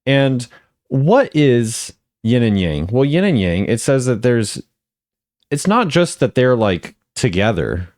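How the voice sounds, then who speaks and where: A man's voice: mid-range voice, big pitch swings, slow, a little mellow, no room sound, studio quality. A man giving casual chatty commentary as a video creator, often repeating phrases for emphasis. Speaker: video creator ranking game voice lines.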